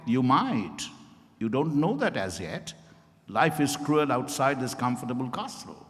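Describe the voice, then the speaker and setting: An actor playing an insistent, smoothly persuasive male doctor and counsel in a formal, theatrical stage reading. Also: medium pitch, big pitch swings, measured pace. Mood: probing, persuasive. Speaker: stage reader in a dramatized reading. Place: event hall at a hotel, live stage reading. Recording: echoey hall with long reverb.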